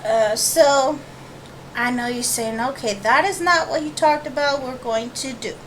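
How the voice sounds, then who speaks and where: A woman with a notably high voice chats informally as the host of an art vlog. She rambles through asides and corrects herself as she goes. The sound comes from a webcam microphone, with faint noise underneath. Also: measured pace, a bit bright, poor audio. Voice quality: high-pitched voice. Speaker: host of a watercolor painting channel. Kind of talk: casual, chatty vlog intro to an art tutorial. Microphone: built-in webcam microphone.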